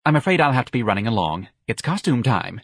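A man voicing an effeminate young man, breathy, gushing and dramatic, as a character in an audiobook. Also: medium pitch, big pitch swings, quick pace, a bit bright, dry recording, studio quality, exaggerated voice. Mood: weary, dramatic.

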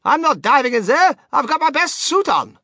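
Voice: high-pitched, sing-song, moderate pace, bright, forceful tone, dry recording, studio quality, pitched above the performer's own voice, exaggerated voice. A reader voicing a grumbling, unhelpful father in a children's storybook. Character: Mister Gloop, grumbling unhelpful storybook father, adult man.

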